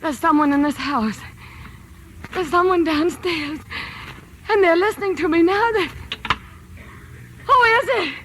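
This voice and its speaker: A woman's voice: very high voice, measured pace, pauses often, a bit bright, slight background noise. An actress playing a terrified, demanding bedridden wife in old-time radio drama, breathless and stammering, pleading as she goes. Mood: fearful, agitated.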